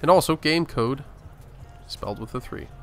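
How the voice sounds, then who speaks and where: A man's voice: medium pitch, lively intonation, normal speed. An American man from Arizona hosting a gaming video with casual gameplay commentary. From Arizona, United States. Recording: voice-over with faint game music.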